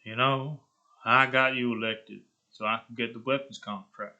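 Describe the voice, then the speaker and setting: A man's voice: medium pitch, steady tone, normal speed, bright, forceful tone, lo-fi sound. A man playing a scheming supervillain with a smug, threatening, politician-like delivery from a character script. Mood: smug threat. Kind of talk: animated villain script reading.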